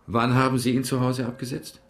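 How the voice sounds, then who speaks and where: An actor in a German radio crime drama, speaking as a calm, probing investigator and storyteller. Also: male voice, mid-range voice, brisk, soft background music, lightly acted.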